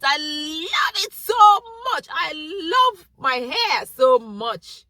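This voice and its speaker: A woman's voice: a little high, bright, forceful tone, no room sound. A young woman with a Nigerian Igbo accent talking straight to camera in an earnest, pleading vlog monologue. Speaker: vlogger.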